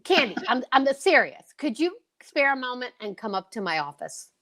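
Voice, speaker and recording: A woman's voice: a little high, very animated, normal speed, pushed and bright. A woman playing a worried department store advertising woman in 1940s radio acting. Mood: worried, urgent.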